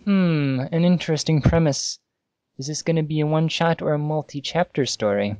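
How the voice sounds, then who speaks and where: A man casually reading amateur fan fiction aloud on a podcast, halting and amused, with asides. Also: on the high side, darkish tone, clean tone, dry recording.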